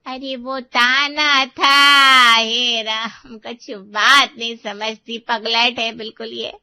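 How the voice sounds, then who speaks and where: Woman doing a snarky scheming auntie, mocking tone, high bright voice, cartoon. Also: little pitch movement, drawn-out words, smooth, no room sound, heavily acted. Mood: fed up. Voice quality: mocking, taunting. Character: sharp-tongued older aunt, sarcastic and scheming. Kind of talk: Hindi cartoon voice acting.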